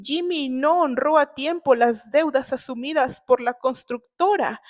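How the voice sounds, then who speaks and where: A woman voicing a desperate middle-aged wife and mother, pleading and justifying herself hesitantly. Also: high voice. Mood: ashamed, halting.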